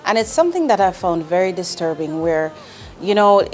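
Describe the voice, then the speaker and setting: A woman's voice: fairly low pitch, sing-song, a little fast, darkish tone, soft background music. A woman in her forties, from Jamaica, speaking as an investment executive in an interview, earnest and direct, with ums and restarts. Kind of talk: candid interview answers.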